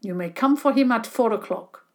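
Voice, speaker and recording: A woman's voice: mid-range voice, normal speed, lightly acted. A woman reading aloud, voicing a man who works as a tutor, strict but fair, speaking in a precise and clipped way. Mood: stern.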